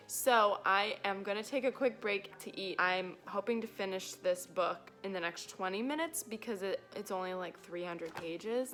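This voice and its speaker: Young female vlogger, casual and self-deprecating, lively delivery, some background music. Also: medium pitch. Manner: animated, self-deprecating, swearing. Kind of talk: casual chatty reading vlog.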